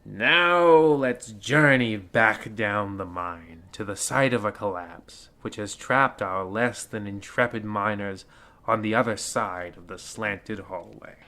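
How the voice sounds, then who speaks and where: An actor playing a theatrical, mock-ominous host of an old-time horror radio show, delivering ghoulish puns in a deliberate manner. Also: male voice, fairly low pitch, pushed and bright, slight background noise, full character voice.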